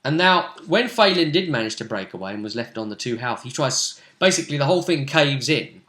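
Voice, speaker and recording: A man's voice: mid-range voice, animated, brisk, a bit bright. A man chatting casually and animatedly as a game master, retelling a tabletop session and acting out reactions. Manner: chatty storytelling, acts out players' reactions. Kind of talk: casual animated chat recounting game events. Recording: roomy, echoey home recording.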